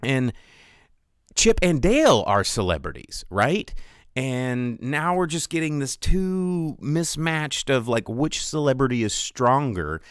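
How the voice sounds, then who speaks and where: Clear-voiced man on a casual rant. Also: mid-range voice, very animated, takes it slowly, no room sound, clean studio sound. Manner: rambling, emphatic, exasperated. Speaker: podcast host.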